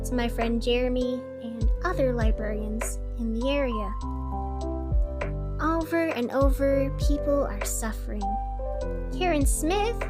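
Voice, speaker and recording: A woman playing a chatty, earnest radio host who reports a fantasy city's troubles in an expressive, conversational, scripted news style. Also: high voice. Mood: wry concern, then exasperated, amused. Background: music.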